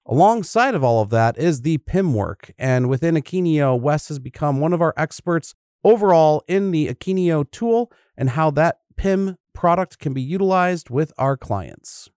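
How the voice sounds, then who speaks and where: A man's voice: on the high side, animated, moderate pace, a little mellow, clear, resonant tone, no room sound, clean studio sound. A man hosting a podcast, reading a prepared introduction of a colleague. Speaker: podcast host. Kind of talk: scripted introduction.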